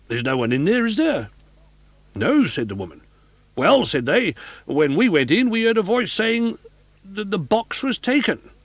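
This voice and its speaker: A man's voice: sits high, sing-song, unhurried, dry recording, pitched above the performer's own voice, full character voice. A man voicing a nervous male theatre inspector who splutters and stammers through his explanations. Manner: hesitant, stammering.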